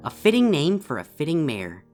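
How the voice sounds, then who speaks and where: A woman as a lively, teasing unicorn barista. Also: low voice, normal speed. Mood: welcoming. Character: elegant unicorn tea shop barista, female, cheerful and teasing. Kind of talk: scripted story dialogue. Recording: music bed behind the voice.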